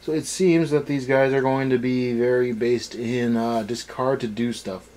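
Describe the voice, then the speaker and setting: A man's voice: medium pitch, fairly even intonation, moderate pace, a little mellow. A man in a casual, opinionated discussion as a review co-host, blunt and dismissive in his ranting. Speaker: co-host of a card game anime review.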